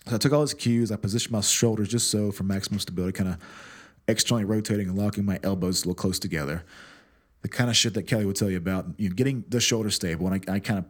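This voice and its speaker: Man, lower voice, profane and animated casual storyteller, self-deprecating. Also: rapid-fire. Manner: often repeats and restarts words.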